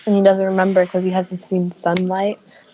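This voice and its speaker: A woman's voice: lowish voice, monotone, normal speed, warm tone, lo-fi sound, slight background noise. A woman chatting casually as co-commentator on a gaming video, chipping in with short, teasing remarks. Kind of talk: casual chat.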